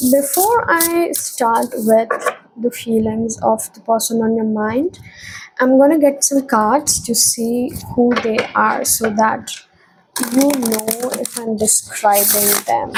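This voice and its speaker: A woman doing a tarot reading to camera, feeling unwell and speaking lower than usual, soft and hesitant with frequent pauses. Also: fairly even intonation, noisy background. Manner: soft, hesitant, with frequent ums and repeated phrases. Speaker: tarot reader.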